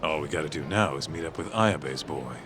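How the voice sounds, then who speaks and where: A man's voice: rumbling low, fairly quick. An actor playing a streetwise, nosy male detective sidekick in a video game, laid-back, blunt and slangy. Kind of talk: English game dub cutscene acting. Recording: music clearly behind the dialogue.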